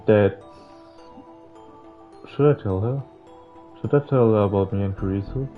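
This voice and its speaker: A man reading visual novel text aloud as a playthrough host, stumbling over words now and then in a halting way. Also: on the low side. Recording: muffled lo-fi mic, music behind. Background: game music.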